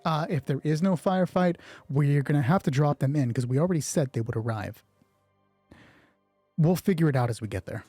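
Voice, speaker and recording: A man's voice: a little high, a little fast, mellow, dry recording, faint music behind. A man casually narrating an improvised solo roleplay game, thinking aloud and swearing offhandedly.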